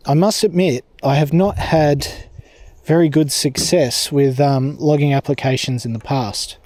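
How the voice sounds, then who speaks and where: A man's voice: fairly high pitch, dark tone. An Australian man rambling casually and self-deprecatingly as he vlogs outdoors while working on a task. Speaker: vlogger and amateur radio operator. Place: outdoors, portable radio setup. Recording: faint background noise with clicks.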